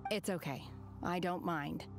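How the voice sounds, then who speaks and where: A woman playing a reserved, solemn young woman in a video game, speaking in a calm, measured, formal way. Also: fairly low pitch, over loud music. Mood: gentle, sincere.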